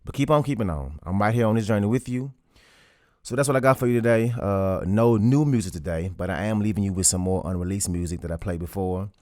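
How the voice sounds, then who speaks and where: Low-voiced man giving motivational talk. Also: fast, a little mellow, no echo, studio quality. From Louisiana, US. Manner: earnest and direct, with plain colloquial speech. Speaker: podcast host.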